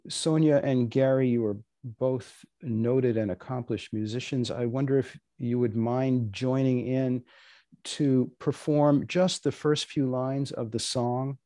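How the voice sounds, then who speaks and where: A man hosting and moderating a panel event, speaking politely and in a measured way. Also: mid-range voice, steady tone, mellow, no room sound, clean studio sound. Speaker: host and moderator. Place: indoor room on a video call.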